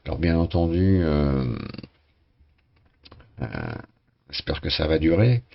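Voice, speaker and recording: A hoarse man casually commenting on a sailing race game, talking with many hesitations and restarts. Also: very deep voice, takes it slowly, highs cut off.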